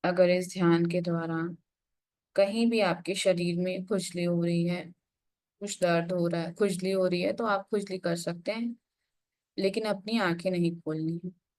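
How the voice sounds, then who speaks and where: A woman leading a guided meditation, giving instructions in an unhurried way with frequent pauses. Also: lowish voice, fairly even intonation, darkish tone.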